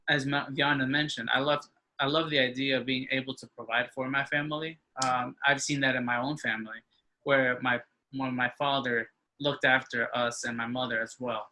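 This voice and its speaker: Halting young man with bright voice. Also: mid-range voice, fairly even intonation, rough recording. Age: 20s. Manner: halting, frequent restarts. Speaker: interview guest, engineering student. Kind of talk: casual conversation.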